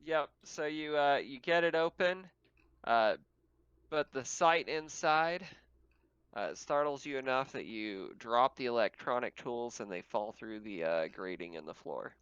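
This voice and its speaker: Chuckly game master, smooth male voice, dull audio. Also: medium pitch, expressive, unhurried, a bit bright, no echo. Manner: chuckles often while talking. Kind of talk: casual narrating of an online tabletop game. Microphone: online voice call.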